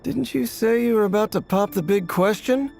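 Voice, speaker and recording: A man's voice: sits high, moderate pace, creaky, darkish tone. A man voicing an easygoing, sociable swordsman in a video game, casually teasing. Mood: teasing, friendly. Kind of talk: dramatic game voice acting. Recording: music under the voice. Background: music.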